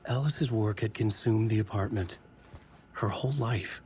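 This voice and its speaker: An actor playing a tormented, grimly determined horror novelist in a video game, narrating in terse, brooding phrases. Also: male voice, on the low side, moderate pace, a little hiss or hum, natural voice. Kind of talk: dramatic noir-like first-person narration. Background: loud music.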